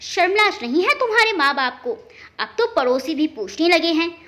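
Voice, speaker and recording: A woman storyteller voicing a bitter, sharp-tongued mother-in-law who scolds and shames relentlessly. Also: very high voice, sing-song, normal speed, pitched above the performer's own voice, full character voice. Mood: scornful scolding.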